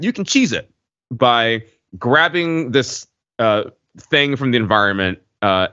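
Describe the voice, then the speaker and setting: A man's voice: low voice, a bit bright. A man, probably middle-aged, chatting casually as a podcast co-host, explaining things in a wordy, hesitant way with many asides. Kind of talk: casual podcast chat, explaining video game slang. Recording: muffled audio in an echoey room.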